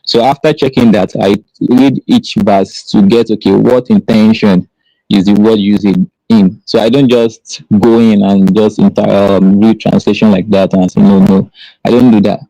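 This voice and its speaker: Gravelly, hesitant low-voiced man. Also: fairly even intonation, moderate pace, mellow, no echo, low-quality recording. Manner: hesitant, many fillers and restarts. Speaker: caller defending his view in a debate. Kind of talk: live online debate.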